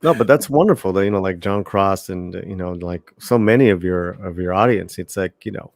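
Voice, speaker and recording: Male panelist, lowish voice, dry close sound, casual affirming interjections. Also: a little fast, darkish tone, no room sound. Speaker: panelist on an online show. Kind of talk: casual livestream chat.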